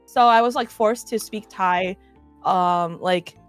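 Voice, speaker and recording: A woman's voice: mid-range voice, takes it slowly, clear, resonant tone, faint music behind. A young woman chatting casually, rambling with lots of "like". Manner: rambling, many fillers and restarts. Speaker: vtuber. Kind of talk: casual chat.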